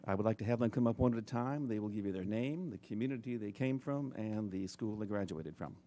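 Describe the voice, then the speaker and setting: A man speaking at a public board meeting, introducing medical students in a measured, plain way. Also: on the low side, dark tone. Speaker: medical school program official.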